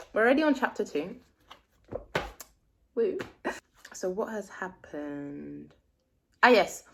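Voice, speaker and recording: A woman whose first language is French, chatting casually to viewers and thinking aloud as she works through a book in a foreign language. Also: mid-range voice. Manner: chatty, thinks aloud while puzzling out the text. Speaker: language-learning vlogger. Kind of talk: casual reading vlog.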